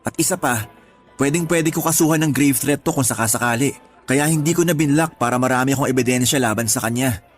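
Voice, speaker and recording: A man's voice: mid-range voice, fast talker, soft background music, close to an everyday voice. A man playing a sincere male schoolteacher sweet on his colleague, earnest and conversational in a radio drama. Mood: defensive. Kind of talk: Tagalog radio drama acting.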